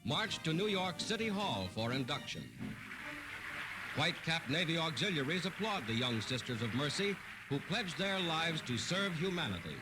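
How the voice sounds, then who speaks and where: An American man narrates in classic 1940s newsreel style over a loud musical score. His voice sits fairly high and moves with great animation. The delivery is formal, dramatic and declamatory, on a vintage wartime soundtrack. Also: very animated, moderate pace, loud music behind the voice, rough recording.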